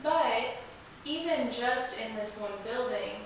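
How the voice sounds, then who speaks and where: A woman speaks as an informal, enthusiastic guest in an interview. Her voice sits on the high side, heard a bit far from the mic in a heavily reverberant room. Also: takes it slowly. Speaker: interview guest, museum staffer. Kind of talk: conversational interview answers. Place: indoors, echoey room. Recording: echoey room, distant mic, hiss.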